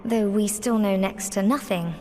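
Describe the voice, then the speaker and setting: An actress playing an amiable, practical adventurer in a fantasy game, talking in a polite, conversational way. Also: female voice, mid-range voice, normal speed, darkish tone, natural voice. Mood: friendly, practical. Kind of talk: fantasy game cutscene acting. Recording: long reverb, noisy ambience behind.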